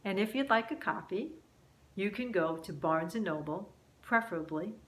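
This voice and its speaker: A woman narrating a children's story aloud in an expressive storytelling manner. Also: mid-range voice, moderate pace, roomy sound.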